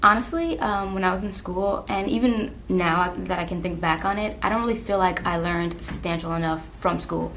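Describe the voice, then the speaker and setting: A woman's voice: fairly low pitch, normal speed, hardly any pauses, fairly bright tone, slight background noise. A woman in her twenties from Long Island with an American accent, talking candidly and emphatically in a documentary interview. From Long Island, New York, USA. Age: 20s. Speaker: college alumna being interviewed.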